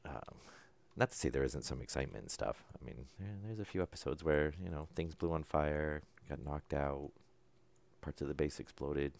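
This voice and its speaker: A man calmly talking through a survival game as he plays, low-key and unhurried, with no put-on enthusiasm. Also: gravelly. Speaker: gameplay commentator. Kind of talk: casual let's-play commentary.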